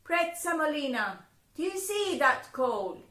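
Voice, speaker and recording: A woman voicing bossy fairy captors who give harsh orders in a loud, scolding manner. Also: sits high, sing-song, unhurried, reverberant, pitched above the performer's own voice, heavily acted.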